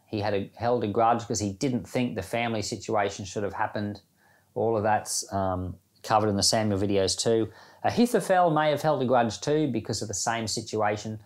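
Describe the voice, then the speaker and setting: A man's voice: lowish voice, moderate pace. Man reading and discussing scripture devotionally. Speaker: church Bible podcast host.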